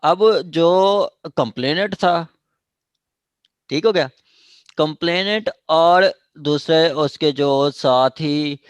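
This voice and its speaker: A man's voice: a little high, slow pace, smooth, no room sound. A man with a Pakistani accent giving an informal law lesson, reading phrases out and then explaining them in plain words. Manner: explanatory, reads out then paraphrases. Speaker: law lecturer.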